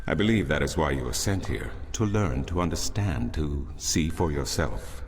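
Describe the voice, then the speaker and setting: An actor playing a solemn older priest in a fantasy game, telling ancient lore in a measured, formal, portentous way. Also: male voice, rumbling low, animated, a little hiss or hum. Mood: solemn, knowing. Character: solemn older male temple priest, a storytelling loremaster. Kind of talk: grand scripted fantasy narration. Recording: music behind the voice.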